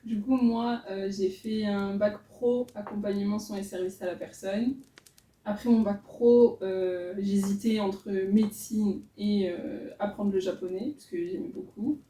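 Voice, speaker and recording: A woman's voice: medium pitch, fairly even intonation, unhurried, warm tone. A woman in her mid-twenties from France, a master's student, telling her path in casual chat. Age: 20s. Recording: echoey room, mic far off.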